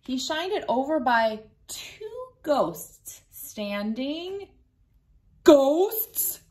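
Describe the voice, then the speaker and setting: Slow, gentle woman reading to kids. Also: high-pitched, very animated. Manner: gentle, playful, laughing often. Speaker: children's book reader. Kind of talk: read-aloud storytime for kids. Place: bright room indoors.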